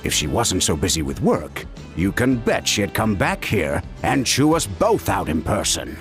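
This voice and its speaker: Fussy caring uncle, middle-aged, voice jumps all over, soft music. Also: male voice, low voice, measured pace, heavily acted. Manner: warm-hearted scolding, expressive. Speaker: game character. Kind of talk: video game voice acting. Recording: game audio with soft music.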